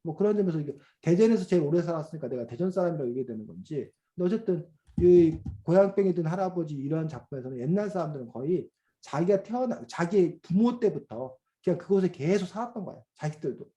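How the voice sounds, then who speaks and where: A middle-aged man teaching a children's reading class over a video call, explaining slowly with many fillers and questions to the students. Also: fairly high pitch, expressive, mellow, dull audio. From South Korea. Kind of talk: online class lecture to children.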